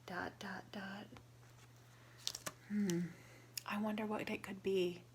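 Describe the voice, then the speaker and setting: A woman reading a picture book aloud to children in a teacherly way, pausing to ask questions and explain words simply. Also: medium pitch, flat delivery, a little hiss or hum.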